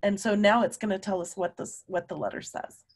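A middle-aged woman, a teacher, reads a children's story aloud expressively and emphatically, pausing to question and explain. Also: medium pitch, fairly even intonation, slightly rough. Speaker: teacher reading aloud to students. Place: small room at home.

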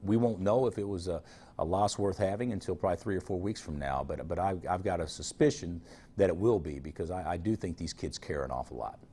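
A man's voice: sits low, a little fast, mellow, a little hiss or hum. A man in his forties, a college basketball coach, answering TV interview questions frankly, often restarting and repeating words. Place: studio remote booth.

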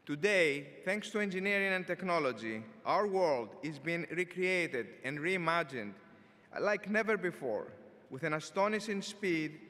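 An older man delivers a formal commencement address from a podium in a huge arena, his words trailing off into a long reverb. His voice is bright and sits a little high. He speaks in a measured, deliberate way, with an occasional filler word. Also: animated. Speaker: engineering school dean. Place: large arena stage at a podium. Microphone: podium microphone.

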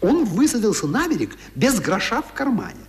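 A good-natured common fellow in a 1970s radio play. His high voice leaps dramatically up and down as he chatters. He is theatrical and deferential, like a servant telling tales. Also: very animated, fairly quick. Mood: amused storytelling. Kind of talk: Soviet radio drama acting. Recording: 1977 radio play recording.

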